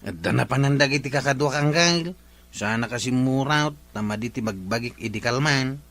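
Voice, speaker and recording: A man's voice: mid-range voice, normal speed, a bit bright, no room sound, close to an everyday voice. A man acting a plain-spoken, grumbling village man in a comedy radio drama, with a Filipino accent. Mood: conversational.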